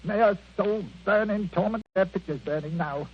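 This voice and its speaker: An actor playing a bitter, self-pitying older miser in old radio drama style, whining and melodramatic. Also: male voice, high-pitched, moderate pace, lightly acted. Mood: bitter, agitated. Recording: muffled, noisy vintage radio recording.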